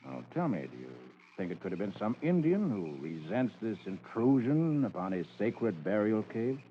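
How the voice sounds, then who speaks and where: Composed private eye for vintage radio mystery; low, varied delivery, probing yet polite. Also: male voice, very animated. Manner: measured, courteous questioning. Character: calm, probing male private detective. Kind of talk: 1940s radio drama acting. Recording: muffled, noisy old radio recording.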